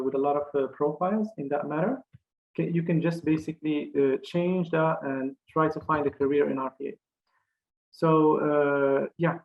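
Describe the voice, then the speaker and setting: A young man from Morocco gives a career fair talk over a video call, his voice a touch high. He halts often and restarts phrases. The call audio sounds slightly muffled and dull. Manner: halting, many uh fillers and restarts. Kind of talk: online career talk presentation.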